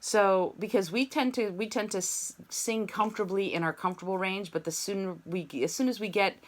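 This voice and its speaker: A woman's voice: mid-range voice, brisk. A woman teaching an online singing lesson, coaching students and demonstrating sung scales on "ma". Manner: encouraging, demonstrates sung vowels.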